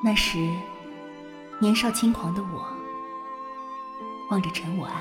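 A woman acting an affectionate, eager schoolgirl in a modern audio drama, with an expressive, earnest, girlish delivery. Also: medium pitch, measured pace, over music, lightly acted. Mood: wistful narration. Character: affectionate, eager schoolgirl, devoted to her best friend.

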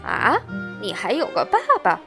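A woman voicing a stern, blustering male puppet-theatre boss with a soft heart in a children's storybook. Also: medium pitch, sing-song, moderate pace, vocal fry, music in the background. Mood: relenting, gruff. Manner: loud, commanding, scolding.

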